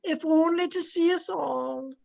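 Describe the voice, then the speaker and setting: A woman's voice: very high voice, a little slow, vocal fry, darkish tone, full character voice. A woman playing a tender old family confidante in a radio drama, soothing and motherly. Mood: tender, sorrowful. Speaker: actor in an amateur radio play. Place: at home, recorded over video call.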